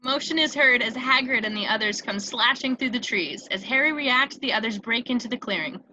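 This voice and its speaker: A woman's voice: on the high side, brisk, muffled recording. A woman reading scripted stage directions as narrator of a table read. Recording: clear steady background noise.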